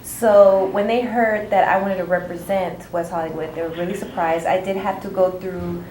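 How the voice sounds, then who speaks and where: A woman's voice: fairly low pitch, steady tone, moderate pace, roomy sound, background noise. A young woman from California, a pageant titleholder, talking openly and chattily in a talk show interview with plenty of fillers. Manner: open, chatty, many fillers.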